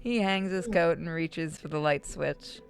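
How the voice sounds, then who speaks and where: Lowish-voiced woman narrating over music. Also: unhurried. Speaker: game streamer narrating. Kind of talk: read-aloud narration with casual asides.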